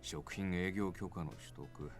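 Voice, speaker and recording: An actor playing a mild, reasonable gentleman with soft wording in a visual novel. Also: low voice, normal speed, faint music behind, natural voice. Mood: thoughtful. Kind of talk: Japanese visual novel voice acting.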